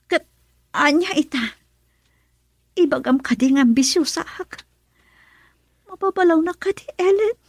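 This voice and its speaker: A woman's voice: high voice, lively intonation, measured pace, pauses often, dry recording. An actress playing an emotional, defensive young woman in an Ilocano radio drama, with an Ilocano accent. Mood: defensive, pleading.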